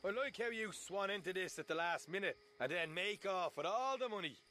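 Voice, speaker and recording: Cocky, high-voiced outlaw. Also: male voice, animated, a little fast, bright, forceful tone, a little hiss or hum. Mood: grumbling. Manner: cheeky, argumentative. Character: cocky, brash, grumbling young outlaw. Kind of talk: dramatic video game acting.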